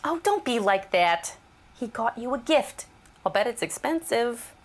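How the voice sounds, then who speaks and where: A woman's voice: a little high, big pitch swings, a little slow. An actress playing a breezy, chatty female housemate in an audio drama, casual and teasing. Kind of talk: naturalistic audio drama acting.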